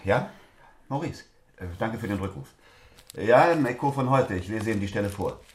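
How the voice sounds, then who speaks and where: A man's voice: on the low side, lively intonation, moderate pace, natural voice. Brusque lawyer in an echoing room played by a man. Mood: businesslike. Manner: clipped, businesslike. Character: brusque, businesslike corporate lawyer, curtly polite. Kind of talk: naturalistic stage-play dialogue.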